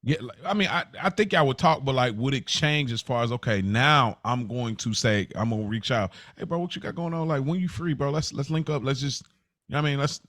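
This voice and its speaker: An American man co-hosting a podcast, thinking aloud in a halting, informal way as he chats and asks questions. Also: mid-range voice, a bit bright, dry recording, clean studio sound. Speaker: podcast co-host. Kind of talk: casual chat, probing questions.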